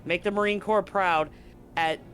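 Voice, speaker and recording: A man's voice: high-pitched, fairly even intonation, a little slow, fairly bright tone, pitched above the performer's own voice. A man voicing an embattled marine commander giving stoic, urgent orders in a tabletop sci-fi game. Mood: grim, resolute.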